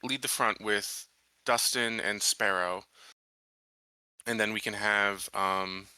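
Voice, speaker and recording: A man's voice: lowish voice, fairly even intonation, takes it slowly, sharp tone, clean tone, no room sound, rough recording. A man talking casually as a player in a tabletop roleplaying game, planning out moves.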